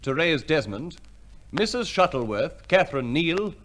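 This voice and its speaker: A man's voice: a little high, sing-song, measured pace, fairly bright tone, a little hiss or hum. A man reading scripted announcements as a formal, polished 1950s radio compere, on an old recording.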